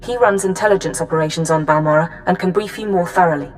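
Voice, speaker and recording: A woman as a brisk intelligence handler. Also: fairly low pitch, lightly acted, poor audio. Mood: businesslike. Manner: crisp, businesslike briefing.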